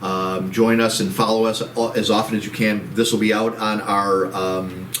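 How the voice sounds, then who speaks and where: A man's voice: lowish voice, little pitch movement, moderate pace, a bit bright, distant mic, a little hiss or hum. A man co-hosting a casual chat show, rambling with many restarts, his voice soothing. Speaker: co-host. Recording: echoey room, off-mic.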